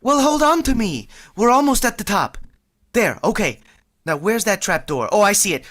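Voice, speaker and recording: A woman's voice: mid-range voice, big pitch swings. A woman playing a nervous teenage boy hurrying his date along in an amateur vintage radio play. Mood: urgent, flustered. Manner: hurried, pushy and jittery.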